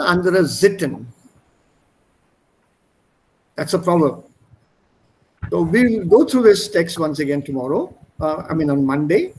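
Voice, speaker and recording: A man's voice: high-pitched, rough recording. A man teaching German in an online class, explaining words and switching between German and English. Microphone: video call.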